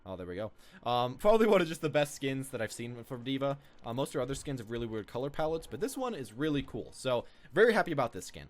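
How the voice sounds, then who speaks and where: A man's voice: mid-range voice, very animated, quick pace. A man giving casual, unscripted, rambling commentary as the host of a gaming video. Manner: rambling, informal.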